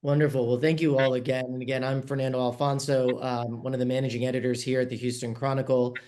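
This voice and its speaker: A man from New York moderating a virtual panel, friendly and a little halting, with frequent fillers. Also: medium pitch, flat delivery, a little mellow. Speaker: panel moderator, managing editor. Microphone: video call.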